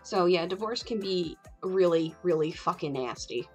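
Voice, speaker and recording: Female livestream host, lowish register, candid rambling chat with salty language, light music underneath. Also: animated, moderate pace, a little mellow. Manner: candid, rambling, frequently swearing.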